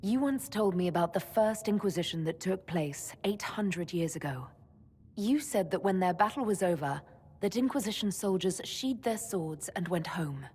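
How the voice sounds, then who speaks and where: A woman playing a composed, diplomatic, gently wry leader in a fantasy video game, calm and direct in speech. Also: on the low side, moderate pace, breathy edge, in a large hall. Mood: calm, curious.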